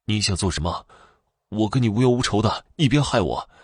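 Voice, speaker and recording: A man's voice: animated, fairly quick, slightly rough, no echo, studio quality. A man voicing a frightened, earnest young man in a horror story, speaking urgently and pleadingly. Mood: scared, begging. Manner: urgent, pleading, higher-pitched delivery. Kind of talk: audio horror storybook character voice.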